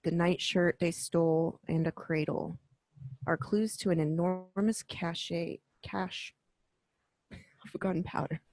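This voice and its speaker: Woman doing batgirl in a home radio play, kinda low voice, prim and proper, dry close sound. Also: steady tone, slow, a little mellow, dry recording, low-quality recording. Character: Batgirl, young woman crime-fighter, prim and rule-abiding. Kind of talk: amateur radio play reading.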